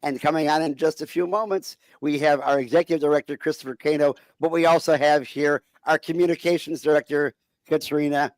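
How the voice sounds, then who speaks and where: A man's voice: fairly high pitch, fairly even intonation, fairly quick. A man hosting an online talk show over a video call, introducing guests and asking questions in a chatty, upbeat way. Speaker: show host.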